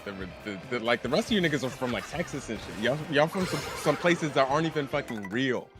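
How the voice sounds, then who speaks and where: A man's voice: medium pitch, animated, fast. A man from New York chatting casually and profanely while live-streaming a racing game. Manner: profane, joking, rambling. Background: game music.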